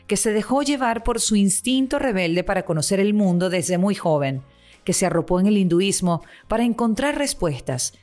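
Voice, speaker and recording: A woman reading a scripted voice-over introduction in a measured, deliberate way. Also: mid-range voice, a little mellow. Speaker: voice-over narrator. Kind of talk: scripted promo narration.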